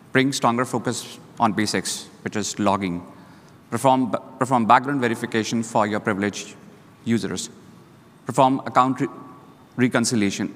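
A man's voice: medium pitch, fairly bright tone. A man on a conference stage giving a structured, list-like talk as a panelist on a lapel mic. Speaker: panelist, security leader. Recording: reverberant hall.

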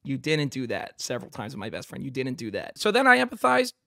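A man's voice: mid-range voice, huge pitch swings, quick pace, vocal fry, sharp tone, no room sound. A young man in his twenties who streams commentary from a small bedroom studio and talks into a close studio microphone. He talks casually and sarcastically, swears often and acts out mocking quotes. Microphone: studio mic close up on a boom arm.

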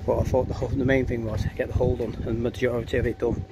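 A man's voice: mid-range voice, level tone, quick pace. A man chatting informally and ramblingly as he narrates a casual handheld DIY vlog outdoors. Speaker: DIY vlogger. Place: outdoors in a back garden. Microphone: camera or phone held by the speaker. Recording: outdoor ambient noise, handheld camera.

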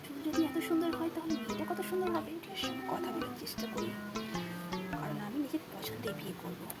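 A woman's voice: sits high, big pitch swings, fast. Woman putting on a smitten, swooning young man doll. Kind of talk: children's puppet doll play. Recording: loud music over the voice.

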